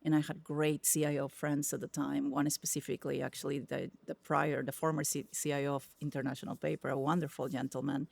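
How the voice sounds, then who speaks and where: Animated Spanish-accented woman, gravelly edge. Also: deep voice, fast, few pauses, no room sound. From Peru. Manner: animated, emphatic storyteller. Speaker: podcast guest, tech executive. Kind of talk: casual interview conversation.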